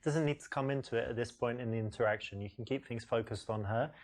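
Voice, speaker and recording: A man's voice: mid-range voice, fast, a loud crowd, natural voice. A man playing a blunt dating coach. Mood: critical. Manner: blunt, instructive critique. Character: blunt male dating coach critiquing moves.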